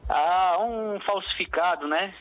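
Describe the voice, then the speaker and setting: A man's voice: high-pitched, takes it slowly, fairly bright tone, highs cut off. A young adult man from southern Brazil with an Italian-immigrant-tinged southern Brazilian accent, a musician answering a radio interview reflectively, with many restarts and fillers. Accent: southern Brazilian Portuguese, Italian-immigrant influenced. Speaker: musician guest.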